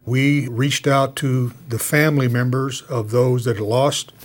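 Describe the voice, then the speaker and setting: A man with an American accent giving measured, hesitant interview answers. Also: medium pitch, fairly even intonation.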